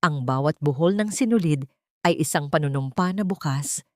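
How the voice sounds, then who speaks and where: A woman's voice: sits low, moderate pace, darkish tone, dry recording, clean studio sound. A woman with a Filipino accent reading a dramatic scripted story as an audiobook narrator.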